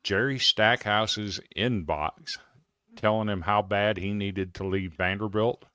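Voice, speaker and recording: Sports fan guest, American man, fifties. Low, clean voice, casual storyteller. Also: steady tone, slow pace, bright, forceful tone, clean tone, no room sound, clean studio sound. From Iowa, United States. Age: 50s.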